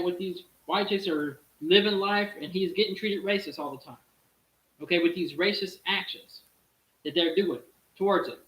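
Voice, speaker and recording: A man with a high, bright voice hosts a casual stream, thinking out loud. He restarts his sentences and keeps posing rhetorical questions. The sound is roomy and echoey. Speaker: streamer host. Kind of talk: casual live-stream talk.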